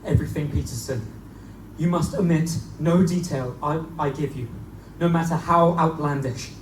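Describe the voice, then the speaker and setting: A man playing a shaken, desperate gentleman, grimly resolved, speaking with formal urgency in a live gothic drama. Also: on the high side, normal speed, natural voice. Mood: grim, insistent. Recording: roomy, distant live recording, faint noise.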